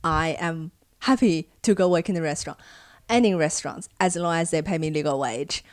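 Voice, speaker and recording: Lowish-voiced young woman vlogger telling her story. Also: brisk, no echo, studio quality. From China. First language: Chinese. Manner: animated when acting out past conversations. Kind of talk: casual storytelling to camera.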